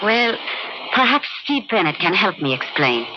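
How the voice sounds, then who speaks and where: A woman acts a solemn, dignified widow in a fifties radio play. Her phrasing is formal and earnest, at an ordinary pitch. The old recording is muffled and noisy. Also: sing-song, normal speed, close to an everyday voice. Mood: polite, composed. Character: grave, dignified widow of a murdered newspaperman. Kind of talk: 1950s radio drama acting.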